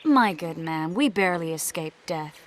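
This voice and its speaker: An actress playing a proud, sharp-tongued, weary young sorceress in a fantasy video game. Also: female voice, lowish voice, animated, normal speed, a little hiss or hum, close to an everyday voice. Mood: composed, dry. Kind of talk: dramatic video game acting.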